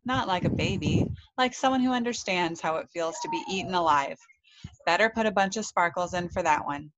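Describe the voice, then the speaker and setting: Casting: kindly elderly storybook grandfather played by a woman, wise and gentle, muffled recording with faint noise. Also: fairly low pitch, wildly swooping pitch, fairly quick. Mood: gentle, encouraging. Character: gentle, wise, big old grandfather cow. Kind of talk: storybook read-aloud.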